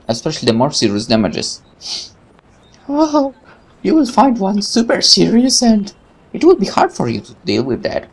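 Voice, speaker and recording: A man hosting a let's play, reading game text aloud and adding rambling casual asides, sometimes stumbling over words. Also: mid-range voice. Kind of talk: reading a visual novel aloud with casual commentary.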